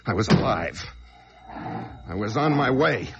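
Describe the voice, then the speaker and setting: An actor playing a desperate, brooding fugitive killer in an old-time radio crime drama, given to overwrought outbursts. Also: male voice, medium pitch, expressive, a little slow, loud music behind the voice. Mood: brooding, tense. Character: desperate fugitive man who killed a truck driver. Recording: dull, noisy old radio recording.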